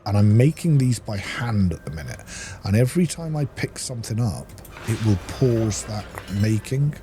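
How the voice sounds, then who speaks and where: A man's voice: fairly low pitch, expressive, normal speed, music in the background. A man giving casual commentary as he plays a video game, half talking to himself. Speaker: gameplay streamer. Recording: clearly noisy background.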